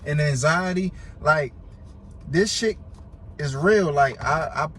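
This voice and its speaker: A man's voice: a little high, slow pace, fairly bright tone, slight background noise. An American man who stutters, chatting casually and emphatically as a podcast guest, with heavy slang and swearing. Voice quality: stutter, word and syllable repetitions. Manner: emphatic, repeats himself for emphasis.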